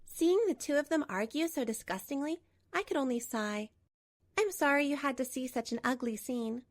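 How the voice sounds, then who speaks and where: High-voiced, coolly resolute betrayed wife played by a woman. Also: normal speed, no room sound, studio quality. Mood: composed. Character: betrayed wife, composed, coolly resolute, narrating her story. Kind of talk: scripted manga dub narration and dialogue.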